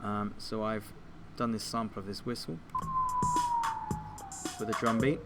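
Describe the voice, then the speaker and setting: A man giving an informal software tutorial, explaining things step by step like a teacher. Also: on the low side, little pitch movement, unhurried, loud music behind the voice. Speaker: music technology teacher.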